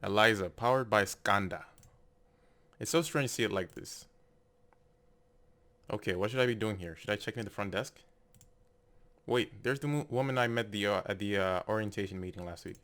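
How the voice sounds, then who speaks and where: A man's voice: on the low side, normal speed, pauses often, fairly bright tone. A man giving casual, unscripted game commentary, hesitantly thinking aloud as he plays. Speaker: gaming video host. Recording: clear background noise.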